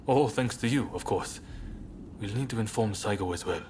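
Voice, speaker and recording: An actor playing a serious, shrewd and wary rebel leader in a video game, speaking gravely and deliberately. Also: male voice, lowish voice, a little mellow, a little hiss or hum, close to an everyday voice. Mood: approving, shrewd. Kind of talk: dramatic video game cutscene acting.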